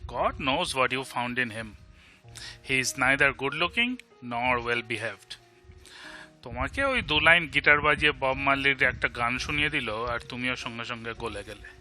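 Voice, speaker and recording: A man's voice: mid-range voice, racing, bright, forceful tone, faint music behind. A man with an Indian Bengali accent playing a blunt, sardonic, protective best friend in an audio drama. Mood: scornful, blunt.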